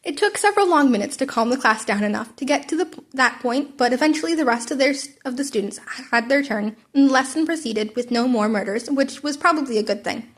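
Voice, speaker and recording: A woman's voice: high voice, animated, fast, hardly any pauses. A woman narrating fan fiction as an amateur audiobook, now and then stumbling and rereading a word.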